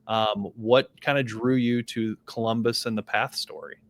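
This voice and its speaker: A man's voice: on the low side, steady tone, moderate pace. A man hosting a podcast interview, asking casual, rambling questions full of "you know" and "um". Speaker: podcast host.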